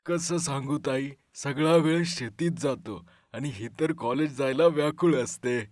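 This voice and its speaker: A performer voicing a loving older farmer father in an animated story, speaking gently and with affection. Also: medium pitch, unhurried, dry recording, clean studio sound, natural voice. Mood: weary.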